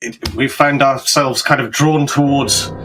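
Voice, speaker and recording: Man playing wound-up, halting investigator over music. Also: medium pitch, fairly even intonation, a bit bright, lightly acted, rough recording. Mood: tense, halting. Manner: halting, formal, insistent. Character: intense, wound-up male investigator, curt and agitated. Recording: music behind the voice.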